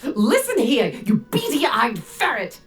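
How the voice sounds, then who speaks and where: A woman's voice: on the high side, wildly swooping pitch, slow, soft background music, heavily acted. A woman voicing a quarrelsome, scornful adventurer in a video game, outraged and indignant. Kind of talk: video game character acting.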